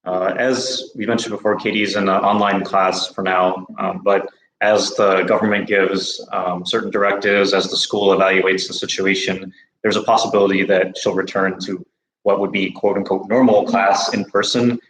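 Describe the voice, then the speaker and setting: A man's voice: low-pitched, normal speed, roomy sound, low-quality recording. American man hosting a live Q&A. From United States. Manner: relaxed, many fillers and restarts. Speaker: host of a study-abroad livestream. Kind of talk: casual live Q&A chat.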